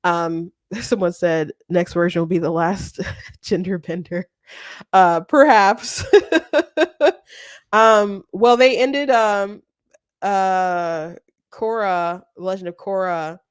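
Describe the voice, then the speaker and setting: A woman hosting a commentary show, talking off the cuff in a casual, halting, rambling way. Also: fairly low pitch, fairly even intonation, clean tone, no room sound, clean studio sound. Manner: halting, rambling, often breaks into laughter.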